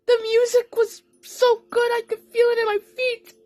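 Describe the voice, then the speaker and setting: A woman's voice: very high voice, monotone, moderate pace, darkish tone, no echo, pitched above the performer's own voice. A woman voicing a nervous, lovestruck female cartoon cat in an audio play, stammering and gushing. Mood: adoring, nervous.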